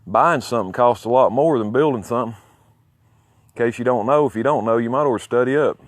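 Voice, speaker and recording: A man's voice: medium pitch, fast talker, no echo, studio quality. A man with a Southern Alabama accent rambling casually in a boastful, folksy, foul-mouthed way as he talks outdoors. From southern United States. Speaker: CB radio hobbyist filming his own video. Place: outdoors near his home.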